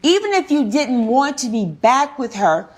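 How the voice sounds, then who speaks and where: A woman with a fairly high voice presides as a courtroom judge. She questions and lectures firmly, leaning on key words as she hands down her view. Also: sing-song, normal speed, husky. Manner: stern, lecturing, emphatic.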